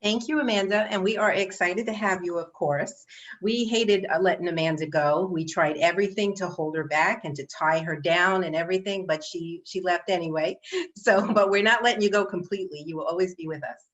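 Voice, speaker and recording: A woman's voice: lowish voice, a little fast. Chatty woman hosting a video call. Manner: chatty with many fillers and restarts. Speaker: event host. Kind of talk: casual online meeting announcements. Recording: dull video call audio.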